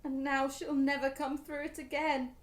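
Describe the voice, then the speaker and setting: A British actress playing an emotional, halting, grief-stricken middle-aged mother in a radio play. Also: high-pitched, level tone, natural voice. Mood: shocked, numb. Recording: echoey room.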